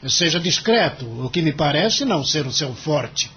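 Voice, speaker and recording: A man's voice: fairly high pitch, expressive, moderate pace, pushed and bright, breathy edge, muffled recording, exaggerated voice. An actor playing a stern, impatient senior lawyer in an audio drama, formal, curt and condescending with his junior. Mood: impatient, reprimanding. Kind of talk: radio drama acting.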